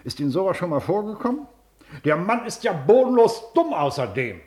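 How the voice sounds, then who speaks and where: A high-voiced, irritable government official in a radio drama. His pitch leaps about as he talks down to people with officious, sarcastic self-importance. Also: big pitch swings, a little fast, darkish tone. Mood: scornful. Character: pompous, irritable male district official, a baron. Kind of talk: German radio play acting.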